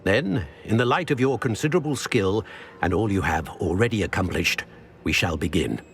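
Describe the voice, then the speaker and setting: An older actor playing a solemn ancient wizard in a video game, speaking in a formal, measured, grave manner. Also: male voice, on the low side, lively intonation, vocal fry. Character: solemn ancient wizard, keeper of secret magical knowledge. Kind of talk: video game cutscene acting. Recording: music behind.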